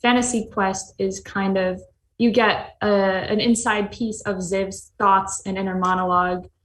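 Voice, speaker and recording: A woman's voice: medium pitch, little pitch movement, measured pace, a bit bright. A woman asking casual questions as the interviewer on a panel. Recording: echoey room with faint background noise.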